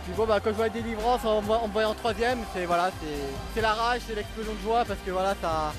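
High-pitched excited man over music. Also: a little fast. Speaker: athlete interviewee. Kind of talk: excited post-event interview.

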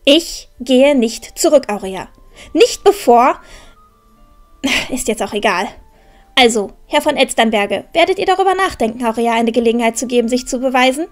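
An actress playing a proud, sharp-tongued, defiant teenage girl in a fantasy audio drama, with a pointed, haughty manner. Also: on the high side, lively intonation, moderate pace, soft background music, lightly acted. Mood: stubborn.

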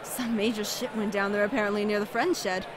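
A woman's voice: mid-range voice, fairly even intonation, fairly quick, crowd noise, natural voice. A woman voicing a pushy, sassy young female food vendor in a visual novel, with emphatic, theatrical delivery. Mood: flustered, casual. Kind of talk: acted visual novel dialogue. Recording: clearly noisy background ambience.

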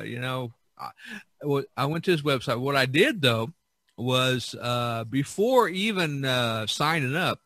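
Bright-voiced middle-aged man, closely recorded. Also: medium pitch, no room sound. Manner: rambling, repeats himself, animated when quoting others. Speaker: podcast host, visual artist. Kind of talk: casual storytelling in conversation.

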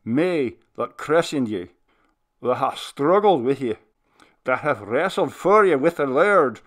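A man's voice: fairly high pitch, sing-song, slow, voice pushed up. A man voicing an old minister who pleads with a ghost, his voice sobbing and commanding by turns.

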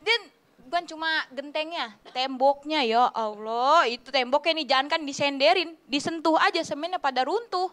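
Very high, bright young woman comedian. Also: normal speed. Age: young adult. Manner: animated, slangy storytelling. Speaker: stand-up comedian. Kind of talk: stand-up comedy routine. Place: TV studio stage, indoors. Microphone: handheld microphone.

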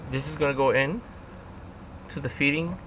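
A man's voice: fairly high pitch, normal speed, a bit bright, a little hiss or hum, poor audio. A man giving a casual step-by-step how-to on his boat outdoors, talking plainly with fillers. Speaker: DIY boat video host.

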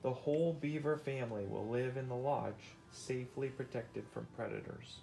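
A man's voice: mid-range voice, normal speed, gravelly, dark tone, a little hiss or hum, low-quality recording. A middle-aged man doing an interactive read-aloud at home, explaining and prompting with questions as a parent teaching. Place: indoors at home on a couch.

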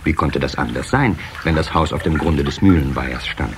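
A man narrating a children's fairy tale as a measured, expressive storyteller in an audio play. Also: very deep voice, noisy background. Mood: storytelling.

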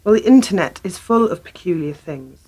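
A woman's voice: on the low side, lively intonation, normal speed, a little mellow, breathy edge, low-quality recording. An actress playing a wary, sardonic young working woman in a radio play, curt, dry and challenging. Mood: wary, questioning.

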